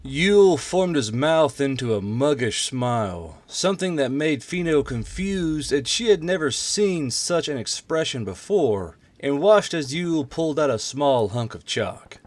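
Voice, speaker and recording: A man's voice: fairly high pitch, animated, a little slow, hardly any pauses. A man reading a fantasy war story aloud as scripted narration. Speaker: narrator, audiobook reader.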